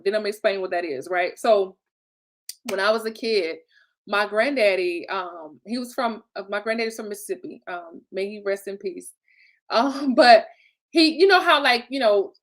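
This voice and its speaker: A woman's voice: medium pitch, measured pace. A woman from Illinois with a Chicago accent and a slight Southern twang, talking as a business coach in a chatty, motivational way, laughing often and checking in with "right?" and "okay?". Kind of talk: motivational solo talk.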